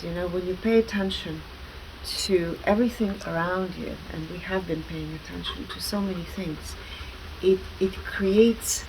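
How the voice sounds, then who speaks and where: A woman speaking earnestly and deliberately as an interview guest, explaining her work with emphatic stresses. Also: fairly low pitch, slight background noise, rough recording. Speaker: guest, alternative wellness practitioner.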